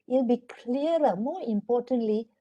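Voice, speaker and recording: Malaysian woman trainer on a webinar call, warm mellow tone, instructive, keeps checking in with the audience. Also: a little high, moderate pace. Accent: Malaysian English. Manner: instructive, often asks for agreement. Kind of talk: online webinar lecture.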